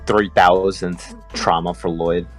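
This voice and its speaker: Male panelist, lowish voice, casual podcast fan talk, soft music in the background. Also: level tone, slow pace.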